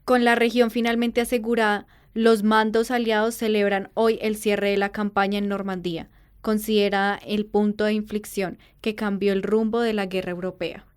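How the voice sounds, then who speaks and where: A woman playing a solemn wartime radio news reporter, reading a formal, measured scripted bulletin. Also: medium pitch, flat delivery, clear, resonant tone, lightly acted. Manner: formal, measured announcer delivery. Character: solemn female radio news reporter announcing war's end from Paris.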